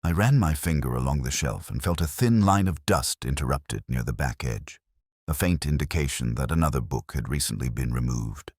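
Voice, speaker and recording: A man with an extremely low voice narrates as a melancholic, introspective police inspector. His solemn, literary delivery is measured yet moves expressively up and down in pitch. The recording is dry and close, with little room sound. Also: lively intonation, darkish tone, slightly rough, no room sound. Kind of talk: scripted first-person detective story narration.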